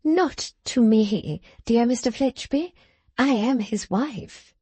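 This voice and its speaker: A woman's voice: fairly high pitch, dark tone, slightly rough, no echo, pitched above the performer's own voice. Woman voicing a gushing, scheming society wife. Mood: pleading. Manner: slow, pleading, sugary. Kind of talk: audiobook character voice.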